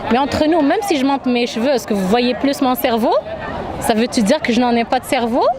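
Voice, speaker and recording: Middle-aged woman, high voice, emphatic and rhetorical, interviewed amid busy crowd and street noise. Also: brisk. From Algeria. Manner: emphatic, repetitive lists, rhetorical questions. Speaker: demonstrator interviewed at a march. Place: outdoors in a city street at a demonstration. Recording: noisy outdoor street crowd and traffic.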